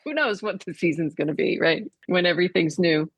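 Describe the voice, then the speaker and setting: A woman with a markedly low-pitched voice talks as a radio interview guest. She is conversational and explanatory, thinking as she goes. The recording is tight and dry. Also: a little fast, husky, dry recording. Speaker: theatre artistic director, interview guest.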